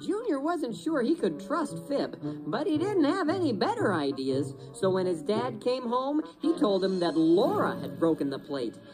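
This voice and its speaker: A woman narrating a children's story in an expressive, suspenseful, dramatic way. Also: fairly high pitch, normal speed, few pauses, music in the background, rough recording.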